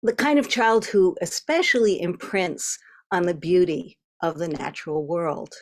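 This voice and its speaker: A woman from Connecticut telling a personal story over a video call, gentle and descriptive. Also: fairly low pitch, unhurried. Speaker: storyteller and co-host.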